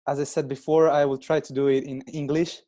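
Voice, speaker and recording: A man in his twenties who grew up in Italy, speaking slow, halting non-native English as a guest with many pauses and restarts. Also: on the high side, level tone, warm tone. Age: 20s. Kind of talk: casual interview chat.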